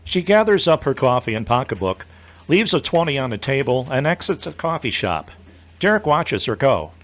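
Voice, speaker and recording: A man's voice: mid-range voice, sing-song, moderate pace, a bit bright. A man reading scripted narration for an audio drama as its narrator.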